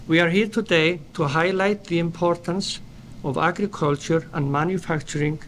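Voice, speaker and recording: A middle-aged man from Iceland with an Icelandic accent, a company founder reading a prepared speech in a formal, measured way at an indoor event. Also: fairly high pitch, slight background noise. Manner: formal, measured delivery read from paper. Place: indoor event at a dairy plant.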